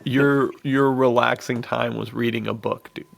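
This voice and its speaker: A man's voice: mid-range voice, fairly even intonation, unhurried, vocal fry. A man chatting casually as a podcast co-host in a laid-back, slangy way. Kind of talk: casual chat about western films.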